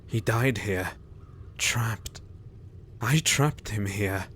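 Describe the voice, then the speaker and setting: An actor playing a guilt-ridden young doctor in an audio drama, with subdued, guilt-laden speech. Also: male voice, lowish voice, drawn-out words, a bit bright, husky, heavily acted. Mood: guilty.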